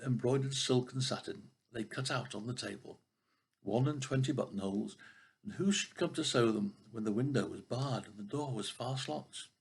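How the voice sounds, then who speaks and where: Gravelly older man reading a children's story. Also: medium pitch. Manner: expressive with occasional stumbles over words. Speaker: grandfather reading a storybook aloud.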